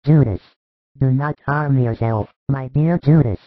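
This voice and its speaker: Male reader as a grave, loving spiritual teacher for a storybook; emphatic pleading, mid pitch, narrow-band sound. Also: measured pace, dry recording, lightly acted. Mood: earnest pleading. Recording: thin phone-like band, dry.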